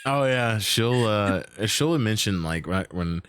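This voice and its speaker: Male streamer-host, low register, casual and foul-mouthed, animated delivery with restarts, dry close-mic sound. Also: takes it slowly, clean tone, no room sound. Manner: animated, profane, stammers and repeats himself. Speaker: podcast host and role-play streamer. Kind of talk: casual, unscripted interview chat.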